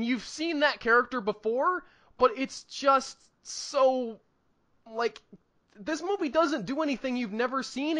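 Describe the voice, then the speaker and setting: A man's voice: extremely high pitch, fairly bright tone. A man co-hosting a film review podcast, chatting casually in an enthusiastic, emphatic way and rambling as thoughts come. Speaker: podcast co-host reviewing films. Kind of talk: casual movie review chat.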